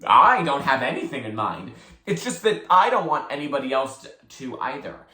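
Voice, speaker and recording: A storybook reader voicing a bossy, theatrical boy who schemes smoothly and likes to play high priest. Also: sing-song, normal speed, bright, forceful tone, echoey room. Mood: feigned innocence. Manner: solemn chanting, mock-innocent persuasion.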